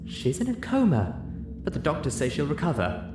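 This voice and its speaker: A man voicing a young female unicorn in a story, mournful, gentle and apologetic. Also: on the high side, wildly swooping pitch, normal speed, music in the background, pitched above the performer's own voice. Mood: regretful. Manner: regretful, gentle. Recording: roomy echo, audible noise.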